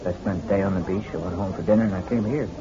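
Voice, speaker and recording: A man's voice: low voice, rapid-fire, background noise, close to an everyday voice. A man playing a bitter, self-pitying drunk and grieving husband in a detective radio drama, world-weary and sardonic. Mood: self-pitying, regretful. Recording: muffled radio recording, music underneath.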